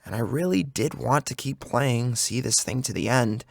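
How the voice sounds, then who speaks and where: A man's voice: mid-range voice, normal speed, vocal fry, dry recording, clean studio sound. A man giving a dramatic, theatrical first-person narration of a scripted horror story. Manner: theatrical, acts out the tension of the story. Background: music under the narration.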